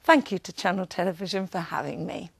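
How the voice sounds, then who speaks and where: A woman's voice: lowish voice, brisk, gravelly, slightly rough, no echo, faint music behind. A woman presenting a scripted television weather forecast.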